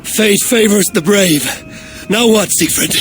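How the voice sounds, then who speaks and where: A man voicing a calm Viking advisor who counsels the chieftain. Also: high voice, unhurried, a little hiss or hum. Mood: steadying. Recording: loud game score, distant voice.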